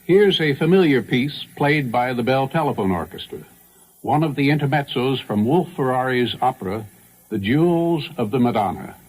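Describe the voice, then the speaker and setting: A man's voice: mid-range voice, animated, normal speed, darkish tone, poor audio. Guy hosting a classical music show, scripted, voice moves up and down a lot. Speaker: radio program host. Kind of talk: scripted introduction and commentary on classical singing.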